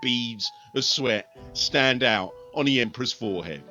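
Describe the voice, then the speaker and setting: Man, audiobook narrator, grave measured reading, bright voice, faint music behind. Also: medium pitch, animated. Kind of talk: audiobook narration of a sci-fi war story.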